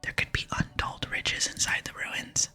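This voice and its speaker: A woman voicing a boastful, scheming female archaeologist from a game in a breathy whisper. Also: pushed and bright. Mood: scheming, guarded. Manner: self-important, brisk. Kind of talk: whispered ASMR reading of game dialogue.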